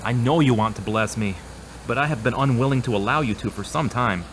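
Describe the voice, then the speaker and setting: A man's voice: mid-range voice, fairly quick, a little hiss or hum, natural voice, poor audio. A man acting as a troubled, self-doubting therapy patient in a scripted audio drama, speaking in an earnest, confessional way. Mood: humble, penitent. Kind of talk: scripted audio drama dialogue and spoken prayer.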